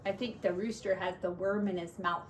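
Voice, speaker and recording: A woman teacher reading a picture book to young children expressively, with gentle, simple asides, recorded on a device set back from her. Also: medium pitch, steady tone, a little fast. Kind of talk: picture-book read-aloud with chatty asides. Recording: distant mic with faint background noise.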